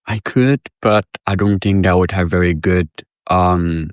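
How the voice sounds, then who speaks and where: A very low, deep-voiced teenage oddball who turns into yeast, played by a man. He explains his strange condition in a flat, earnest, meandering way. The recording is dry and close. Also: a little slow, no echo. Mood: deadpan. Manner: deadpan, earnest, rambling explanations. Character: odd deadpan teen boy who turns into yeast. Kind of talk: improvised comedy podcast character.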